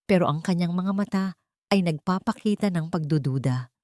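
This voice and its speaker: A woman with a Filipino accent reading a scripted first-person dramatic story in a deliberate storytelling manner. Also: lowish voice, a little mellow, no echo, studio quality. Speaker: narrator of an audio love-and-revenge drama.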